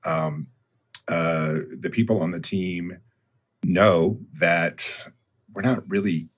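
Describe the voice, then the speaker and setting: A man in his fifties speaks as a guest on a business podcast, pausing often to collect his thoughts. His voice sits very low and carries a husky, slightly breathy grain. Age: 50s. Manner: thoughtful, with frequent ums and restarts. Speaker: guest, consulting firm founder. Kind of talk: conversational podcast interview.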